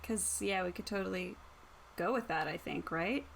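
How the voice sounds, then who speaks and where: A woman's voice: medium pitch, moderate pace, vocal fry, slight background noise. A woman chatting casually as a player in a tabletop game. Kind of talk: casual chat.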